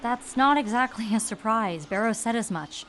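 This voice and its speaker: An actress playing a caring, candid young girlfriend in naturalistic audio drama, with a gentle, direct manner. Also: fairly high pitch, animated, normal speed, slight background noise. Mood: concerned, puzzled. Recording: music behind.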